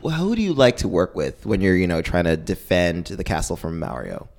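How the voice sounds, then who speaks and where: A co-host with a Haitian accent, asking playful questions in a loose comedy chat. The pitch swings widely, animated and expressive. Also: normal speed. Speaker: podcast co-host.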